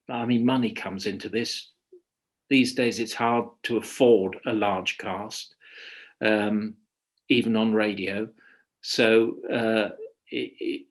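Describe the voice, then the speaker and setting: A man giving informal expert answers in an online question-and-answer session, speaking haltingly as he thinks aloud and restarts his phrases. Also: lowish voice. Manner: hesitant, halting, thinking aloud with repeated starts. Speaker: guest expert, radio dramatist.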